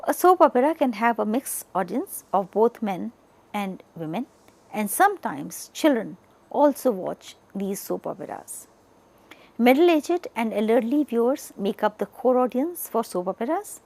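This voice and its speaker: A woman with an Indian accent delivering an educational lecture in a deliberate way, stressing the starts of phrases and letting the ends trail off. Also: on the high side, creaky, a little mellow, low-quality recording. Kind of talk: educational lecture, partly read from notes.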